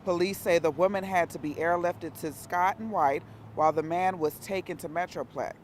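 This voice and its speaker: A woman's voice: on the low side, moderate pace, a little hiss or hum. A woman working as a local television reporter, reading scripted news in a broadcast reporting style.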